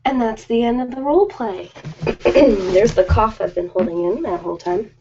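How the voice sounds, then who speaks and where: A woman's voice: mid-range voice, dark tone, a little hiss or hum. Rambling woman on muffled audio. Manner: rambling, informal, hesitant pauses. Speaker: ASMR host. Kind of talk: casual live chat to viewers.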